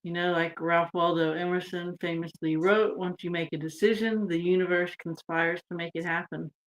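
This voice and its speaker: Lady on a video call, kinda low voice, laid back and rambly with lots of ums. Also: moderate pace, low-quality recording. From United States (Texas). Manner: relaxed and rambling, trailing off with fillers. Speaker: guest. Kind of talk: casual interview chat.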